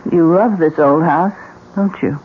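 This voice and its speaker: An actress playing an anxious, polite innkeeper's wife in a radio drama, speaking hesitantly and easily upset. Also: female voice, low voice, measured pace. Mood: uneasy. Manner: hesitant, faltering. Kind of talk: old-time radio drama acting. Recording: thin phone-like radio audio, hissy.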